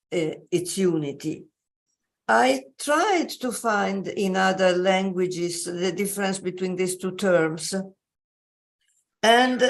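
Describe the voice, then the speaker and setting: Slow, halting woman lecturing. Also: medium pitch. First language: Italian. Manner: slow, halting, many pauses and fillers. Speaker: invited speaker. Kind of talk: academic lecture. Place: joining remotely.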